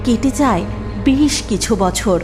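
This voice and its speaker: A woman's voice: fairly high pitch, expressive, unhurried. A woman narrating a scripted cartoon fairy tale as its storyteller. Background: music.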